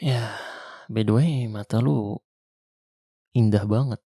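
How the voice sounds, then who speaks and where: A young man with a Jakarta slang accent playing a weary ex-soldier survivor of a zombie apocalypse, speaking softly and intimately in an ASMR roleplay. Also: fairly low pitch, no room sound, clean studio sound. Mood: tender. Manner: soft, slow, intimate, half-whispered. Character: weary male ex-soldier survivor, tender protector. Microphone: close mic.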